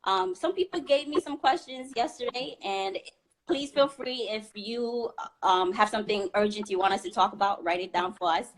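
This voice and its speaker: A woman's voice: mid-range voice, moderate pace, low-quality recording. A woman hosting an online interview, friendly and laughing often as she asks questions. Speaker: host, interviewer.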